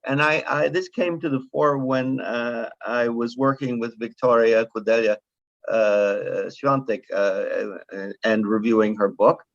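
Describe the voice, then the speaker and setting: Older man giving measured academic remarks. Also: mid-range voice, vocal fry, dry recording. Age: 70s. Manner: measured, digressive, frequent "uh" fillers and restarts. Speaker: conference speaker. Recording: dull lo-fi sound, dry.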